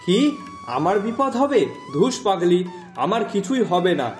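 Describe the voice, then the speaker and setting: Man voicing a high-pitched kindly cartoon father. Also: animated, a little slow. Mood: reassuring. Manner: affectionate, reassuring. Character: kindly, reassuring village fisherman father of two daughters. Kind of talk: Bengali cartoon moral story voice acting. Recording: echoey voice track with music behind.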